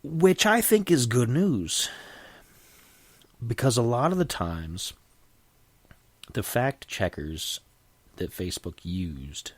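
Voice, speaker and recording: A man's voice: fairly low pitch, no echo. A middle-aged man hosting a solo podcast, sniffly from a cold, rambling and opinionated with frequent restarts. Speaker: podcast host.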